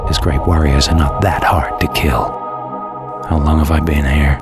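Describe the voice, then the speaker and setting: Extremely deep-voiced, brooding antihero. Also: male voice, normal speed. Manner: brooding, sardonic, deadpan. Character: dead male killer trapped in hell, cynical, defiant antihero. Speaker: protagonist and narrator. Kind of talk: dramatic scripted game narration. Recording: music behind the voice.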